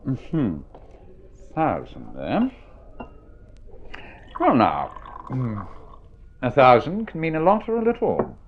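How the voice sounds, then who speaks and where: An actor playing a dry, superior forty-year-old gentleman of the club in an old radio drama, sardonic and measured, with old-fashioned formality. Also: medium pitch, wildly swooping pitch, a little hiss or hum, exaggerated voice. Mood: sardonic, musing. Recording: thin, phone-like vintage radio recording.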